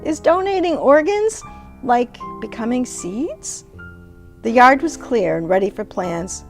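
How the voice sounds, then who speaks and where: An older woman speaks with deliberate care at a very slow pace. Her voice sits a little high. Plucked guitar music plays clearly behind her. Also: very animated. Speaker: poet and show host. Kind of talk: dramatic poetry reading and casual conversation.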